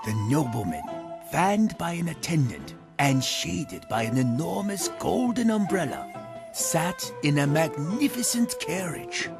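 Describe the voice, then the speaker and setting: A storyteller giving a scripted folktale narration with dramatic, deliberate phrasing. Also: mid-range voice, very animated, gravelly. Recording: music behind the narration. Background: prominent music.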